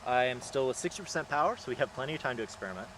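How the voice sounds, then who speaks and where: A man's voice: mid-range voice, expressive, very fast, a bit bright, a little hiss or hum, rough recording. A man hosting a casual on-location vlog outdoors, commenting on a hands-on experiment. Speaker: host running a drone antenna experiment.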